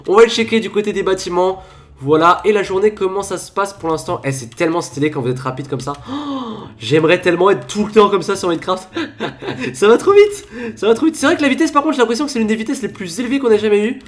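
High-voiced man giving playful game commentary. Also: animated, quick pace. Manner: chatty, playful, bursts into laughing shouts. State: stuffy with a cold. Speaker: gaming YouTuber. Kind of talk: excited Minecraft commentary.

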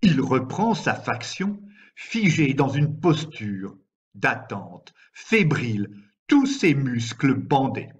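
A man reciting poetry in a measured, deliberate way, with frequent pauses between phrases. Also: mid-range voice, very animated, husky. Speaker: poet reading own work. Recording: slightly dull, lo-fi recording.

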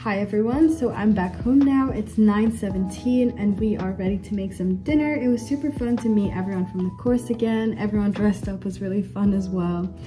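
A woman's voice: medium pitch, brisk, few pauses. A young woman student chatting casually through her day, upbeat and chatty, her throat slightly sore from a recent cold. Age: young adult. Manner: chatty, upbeat, lots of "so yeah" and "like". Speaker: student vlogger. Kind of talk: casual day-in-the-life vlog. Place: home room with blinds and a bathroom. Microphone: phone or camera at arm's length. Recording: music playing underneath.

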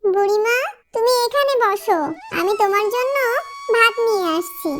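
Very high-pitched little cartoon fox girl. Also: moderate pace, heavy background noise. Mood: kind, eager. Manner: childlike, pleading. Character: sweet, pleading little fox girl, poor and kind. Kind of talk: children's cartoon dubbing.

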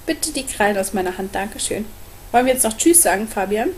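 A woman's voice: mid-range voice, expressive, fast talker, darkish tone, a little hiss or hum. A young adult woman chatting casually and informally to camera as a vlogger, recorded at home on a webcam. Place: small room at home, on a sofa.

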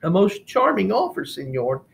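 A man voicing a proud, sharp-tongued young female cockroach who speaks with dry, icy politeness. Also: high voice, sing-song, a little slow, creaky, pitched above the performer's own voice, exaggerated voice.

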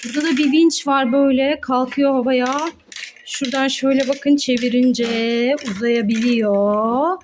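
A woman with a strikingly high voice presents toys as a mother playing along with her child. Her delivery is exclamatory and sing-song, pitched at young children in a playful narrating style. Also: steady tone, a little slow, a little mellow, slight background noise.

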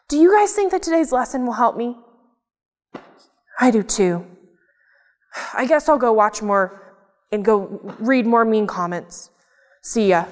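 A woman playing an excitable, dramatic young YouTuber in a children's church show, talking in a perky, exaggerated, sing-song way. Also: sits high, takes it slowly, full character voice. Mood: glum. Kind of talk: kids' church show acting.

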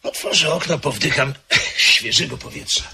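An actor playing an anxious gentleman in a radio drama who mutters to himself and stammers. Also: on the high side, moderate pace, gravelly. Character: nervous gentleman guest, jumpy and anxious. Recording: hissy old radio tape.

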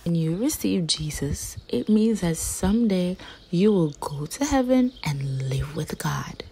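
Slow, very expressive woman reading to children. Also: fairly low pitch, slight background noise. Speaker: read-aloud storyteller.

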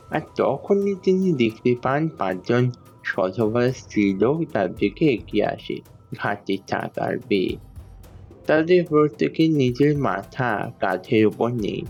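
A man playing a grave village priest in a horror audio story, speaking solemnly and deliberately. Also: medium pitch, vocal fry, a little mellow, muffled recording. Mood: ominous. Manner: solemn, deliberate, fatherly address to a younger woman.

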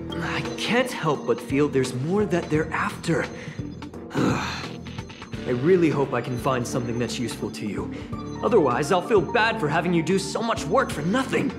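A woman voicing an earnest, excitable young investigator in a sci-fi video game, expressively thinking aloud. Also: low-pitched. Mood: apologetic, downcast. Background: background music.

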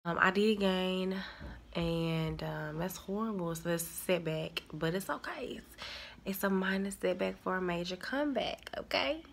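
A woman's voice: fairly low pitch, unhurried, vocal fry, slight background noise. A woman, a little congested from a cold, chatting casually to viewers on a phone held in her dining room. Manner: chatty, addresses viewers as y'all. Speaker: vlogger. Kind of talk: casual chat to camera. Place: dining room at home, indoors. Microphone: handheld phone at arm's length.

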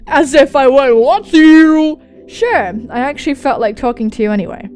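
A young woman hosting a let's play, reading a visual novel aloud and commenting on it with excitable, sweary exclamations. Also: high voice, normal speed. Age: young adult. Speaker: gaming video host. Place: indoor room at home, on webcam. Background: game soundtrack music.

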